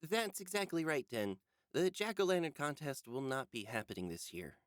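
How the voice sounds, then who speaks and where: An earnest male schoolteacher in a game, with a fairly high voice. He is ponderous and dramatic, pausing carefully, his pitch moving widely. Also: very animated. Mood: grave. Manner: measured, dramatic pauses.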